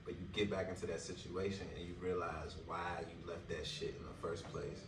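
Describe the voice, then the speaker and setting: A man's voice: low-pitched, flat delivery, brisk, over music. A man around thirty co-hosting a podcast, talking things through in casual chat with loose slang and many fillers. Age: 30s. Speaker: podcast co-host. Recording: echoey room, distant mic, noisy background.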